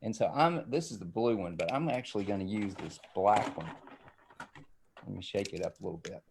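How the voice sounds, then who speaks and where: A man with a lowish, gravelly voice gives a casual art demonstration for children. He rambles as he works and keeps checking that viewers follow. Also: a little fast, background noise. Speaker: illustrator giving a demonstration.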